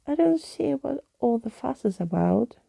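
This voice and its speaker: Woman voicing a sulky, blunt girl creature, breathy. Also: sits high, sing-song, a little slow, mellow, no echo, pitched above the performer's own voice, exaggerated voice. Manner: tutting, sarcastic. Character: sulky, blunt, impatient female storybook creature.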